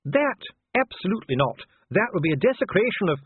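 A man's voice: high voice, very animated, fairly quick, husky, no room sound, phone-quality sound, pitched above the performer's own voice, full character voice. A man voicing a flustered, indignant dwarf court official in an audiobook, hesitant and muttering. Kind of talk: audiobook character voice.

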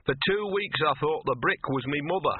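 A man voicing a small, cynical, self-pitying talking dog with a hoarse, slurred, grumbling voice in an audiobook. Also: on the high side, expressive, moderate pace, no echo, narrow, tinny audio, voice pushed up. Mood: maudlin self-pity. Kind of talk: audiobook character voice.

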